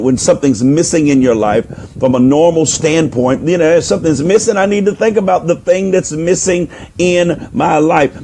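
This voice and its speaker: A man speaking as a life coach and minister, preaching on a live stream into a microphone. His voice is hoarse and nearly gone, and he repeats phrases as he urges listeners on. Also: a little high, dark tone, slight background noise. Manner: repeats phrases for emphasis, urging and exhorting. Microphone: microphone, put on partway through.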